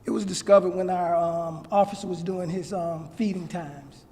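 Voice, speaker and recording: High male voice, soft-edged tone, law enforcement spokesman, formal halting delivery, press conference. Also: fairly even intonation. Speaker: law enforcement official answering press questions. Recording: hall with long reverb.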